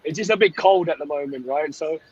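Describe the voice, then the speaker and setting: Slightly hoarse man, higher-pitched, dull call audio. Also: fairly quick. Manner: conversational, often tags phrases with "right?". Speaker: sports fan group founder, guest. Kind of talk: casual call-in chat. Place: on a coach, remote call.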